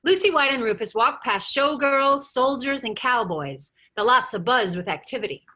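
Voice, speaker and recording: A woman reads stage directions for a table read in a high, bright voice. Her delivery is animated, moving freely up and down as she narrates. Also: moderate pace.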